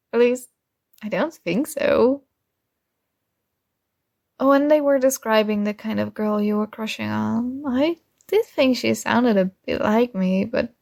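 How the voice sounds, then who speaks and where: A woman's voice: on the high side, creaky, darkish tone. A woman playing a kind, earnest and oblivious female friend in an intimate ASMR roleplay, speaking casually and a little hesitantly. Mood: sheepish, affectionate. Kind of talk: ASMR roleplay, intimate one-sided dialogue.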